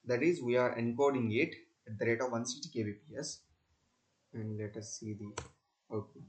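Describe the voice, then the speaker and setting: A man presents a screen-recorded technical tutorial in a medium-pitched voice. He explains each step haltingly, often restating what he has just said. The delivery is that of a plain, practical demonstration. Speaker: student presenter demonstrating software.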